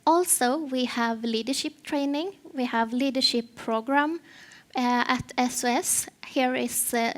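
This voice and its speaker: A Swedish-accented woman presents at a conference in English, her second language. Her voice sits high and her delivery is slow, pausing often to search for words. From Sweden. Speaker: conference speaker, HR partner.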